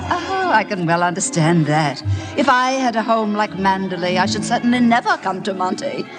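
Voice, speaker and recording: An actress playing a middle-aged society matron, snobbish, gushing and domineering, in golden age radio drama style with an effusive, condescending manner. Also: female voice, mid-range voice, animated, normal speed, natural voice. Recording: vintage radio broadcast with music behind.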